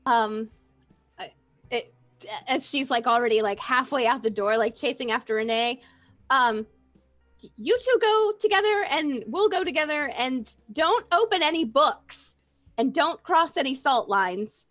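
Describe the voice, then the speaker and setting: A woman improvising as a player in a tabletop roleplaying game, hesitant and halting in her delivery. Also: sits high, animated, fairly bright tone, soft background music.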